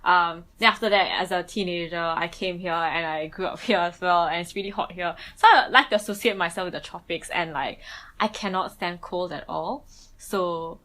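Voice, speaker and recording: Chatty young woman, lowish voice. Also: moderate pace, bright, forceful tone. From Bangladesh. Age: young adult. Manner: chatty, frequent "like" and "um" fillers, self-restarts. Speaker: student interviewee. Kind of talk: casual personal interview.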